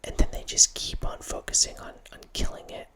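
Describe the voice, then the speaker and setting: A man in his thirties whispers right into a close studio mic from a small room at home. His delivery is loose and rambling, full of restarts and small self-corrections. He keeps it soft and breathy the whole way through. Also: a little fast. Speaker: host. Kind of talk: whispered ASMR horror film ramble. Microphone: studio mic close up, headphones.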